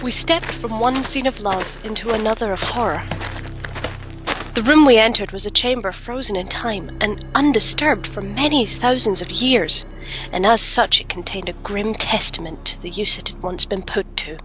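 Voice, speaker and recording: A woman's voice: fairly high pitch, bright, forceful tone, rough recording. A woman playing a young adventurer in a fantasy audio drama, bookish and earnest, speaking and narrating in formal storytelling diction. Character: shaken but curious young woman adventurer, bookish, romantic.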